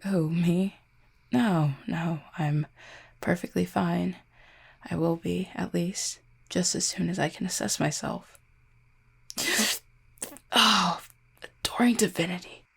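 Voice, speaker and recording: A woman playing an injured, proud and guarded cupid in an intimate audio roleplay, speaking formally and wryly in a husky, pained voice with weary sighs. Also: fairly low pitch, heavily acted. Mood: pained, strained. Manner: formal, wry wording with weary sighs and hesitant pauses. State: in pain, strained.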